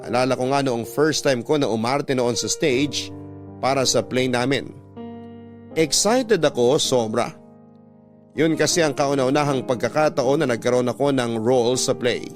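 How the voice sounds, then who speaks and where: A man's voice: medium pitch, moderate pace. A man with a Filipino accent reading a first-person story as a radio narrator, in a dramatic scripted style. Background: background music.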